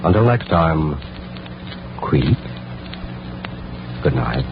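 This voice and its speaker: A man's voice: rumbling low, sing-song, very slow, gravelly, exaggerated voice. A man announcing a tongue-in-cheek sign-off in 1940s radio style. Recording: muffled old radio recording, heavy noise.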